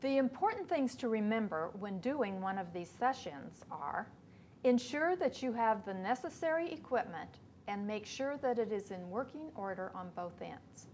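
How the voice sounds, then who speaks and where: A woman in her fifties presenting an instructional webcast for teachers, reading a measured script in a small studio. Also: medium pitch, big pitch swings, a little mellow, a little hiss or hum. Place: small studio before a backdrop.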